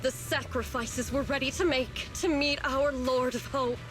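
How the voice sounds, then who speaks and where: A high fanatical cultist. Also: female voice, steady tone, measured pace, over music. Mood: taunting. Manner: fanatical, taunting. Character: zealous female cultist, fanatical.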